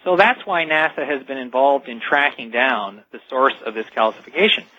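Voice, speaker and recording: Male radio interview guest, husky and emphatic, explains like a storyteller; dry, muffled lo-fi broadcast. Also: medium pitch, moderate pace, no echo. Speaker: guest expert and author. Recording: dull lo-fi radio sound.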